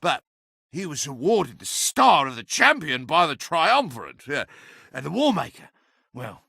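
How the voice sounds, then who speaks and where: An ancient, chatty enchanted object from a fantasy video game, high and wildly swooping in pitch. The delivery is pompous, sardonic and theatrical, with a gravelly crackle around the edges. It sounds like a boastful, bawdy little adviser who loves to talk. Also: male voice, sing-song, measured pace, bright, forceful tone, no echo, clean studio sound, full character voice. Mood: wry storytelling. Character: impish, talkative, bawdy ancient sentient staff, boastful adviser.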